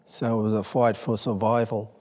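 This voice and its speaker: A man's voice: fairly low pitch, little pitch movement, normal speed, darkish tone. An Australian man in his sixties talking reflectively in an oral history interview. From La Perouse, Sydney, Australia. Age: 60s.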